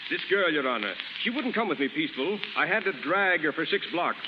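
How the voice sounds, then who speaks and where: An actor playing an aggrieved, dutiful police officer reporting to a judge in a 1930s radio drama. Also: male voice, a little high, lively intonation, brisk, natural voice. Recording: noisy muffled 1930s radio recording.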